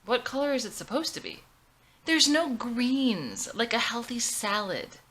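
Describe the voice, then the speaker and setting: A woman's voice: on the high side, sing-song, measured pace, fairly bright tone, pitched above the performer's own voice, heavily acted. A woman voicing a snobbish, critical, fussy young woman who gossips with disdain in a drama script. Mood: disgusted.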